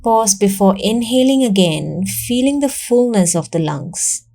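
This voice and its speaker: Female meditation guide. Calm, soothing narration, slow delivery, warm dark tone, generous pauses. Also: mid-range voice. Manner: calm, soothing, measured instruction with long pauses.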